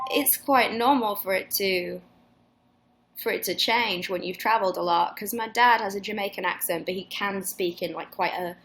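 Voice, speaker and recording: A woman's voice: medium pitch, a bit bright, a little hiss or hum. A woman in her twenties with a mixed Northern English accent, chatting casually with fans in a live Q&A, rambling and swearing with many restarts. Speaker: singer hosting a live fan Q&A. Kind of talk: casual livestream chat.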